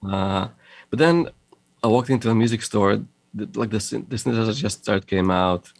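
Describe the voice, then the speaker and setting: A middle-aged man from Moldova with a mixed Russian and Israeli accent, talking haltingly in a casual interview, with many fillers and restarts. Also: on the low side, vocal fry, no room sound. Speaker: guest, audio company founder.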